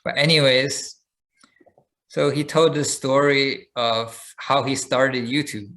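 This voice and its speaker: A man with a voice of ordinary, middle pitch co-hosts a casual podcast. He talks in a relaxed, loose way, filling pauses with "uh" and restarting his sentences as he tells a story. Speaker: podcast co-host. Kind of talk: casual chat.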